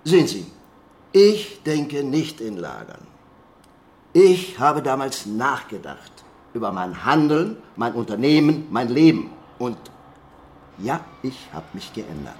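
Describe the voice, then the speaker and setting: A man's voice: mid-range voice, big pitch swings, slow pace, a little mellow. An actor playing a defensive, self-righteous reformed businessman in a German radio crime drama, speaking pointedly. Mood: defensive, earnest. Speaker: former poultry tycoon.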